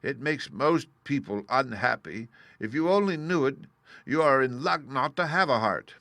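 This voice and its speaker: A man voicing an old humbug wizard who gently confesses he is no real magician, speaking with a confiding, sheepish manner. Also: mid-range voice, animated, moderate pace, gravelly, no echo. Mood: confessing. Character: humble old male humbug wizard, apologetic.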